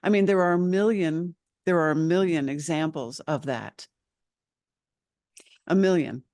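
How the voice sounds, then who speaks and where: A woman's voice: low-pitched, unhurried, darkish tone, no echo. A woman channeling spiritual teachings as a guest, speaking earnestly and insistently as a collective "we" over a video call.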